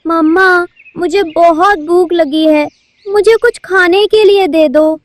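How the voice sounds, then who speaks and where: A woman's voice: extremely high pitch, fairly even intonation, slow, dry recording, voice pushed up. Woman doing a meek little boy pigeon. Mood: whiny. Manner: childlike, pleading. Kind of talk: cartoon voice acting.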